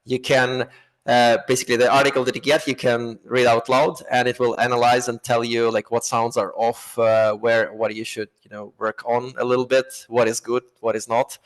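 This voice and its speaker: A man chats as a podcast guest, talkative and loose, with phrases tumbling out one after another. His voice sits at an ordinary middle pitch. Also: level tone, normal speed, poor audio. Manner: self-described chatterbox, talkative and loose. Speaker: guest, app co-founder. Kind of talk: casual podcast conversation.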